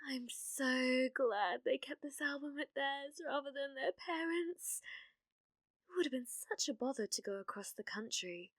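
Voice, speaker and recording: A woman's voice: high-pitched, expressive, measured pace, a bit bright, no echo. A woman with a British accent playing a clumsy, obsessive, lovestruck young witch in an ASMR roleplay, with hesitant, awkward speech. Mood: musing to herself. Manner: flustered, stammering, hesitant, breathy murmuring asides.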